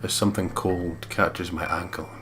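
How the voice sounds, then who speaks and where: Man, low voice, dramatic horror game narration, hushed and deliberate, loud music behind. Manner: hushed, deliberate reading that turns loud and excitable in chat. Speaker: guest player reading a visual novel aloud.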